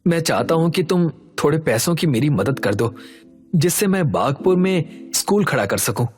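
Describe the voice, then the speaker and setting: A man voicing an earnest, caring young village schoolteacher in an animated story, with an explanatory manner. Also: fairly high pitch, normal speed, music in the background, lightly acted. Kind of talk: Hindi animated story voice acting.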